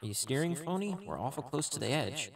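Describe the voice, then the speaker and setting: A man's voice: fairly high pitch, sing-song, quick pace, creaky, noisy background. A man voicing a sensible, exasperated little cartoon creature in a comic dub, earnest and admonishing. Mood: concerned. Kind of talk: comic book dub acting.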